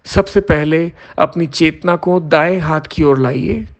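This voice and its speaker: A man leading a guided yoga nidra meditation as an instructor, giving measured instructions in short phrases with pauses. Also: fairly high pitch, lo-fi sound.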